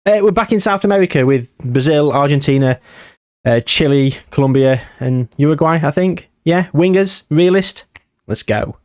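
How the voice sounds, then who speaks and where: A man's voice: on the high side, animated, moderate pace, a little mellow, dry recording, studio quality. A young man commentating casually on a football management game, rambling into self-deprecating asides. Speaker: gaming YouTuber.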